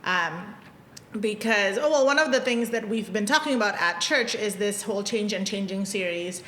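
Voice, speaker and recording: A woman's voice: animated, moderate pace, fairly bright tone. A woman pastor hosting a casual church podcast chat, talking loosely and laughing often. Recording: echoey room with faint rumble.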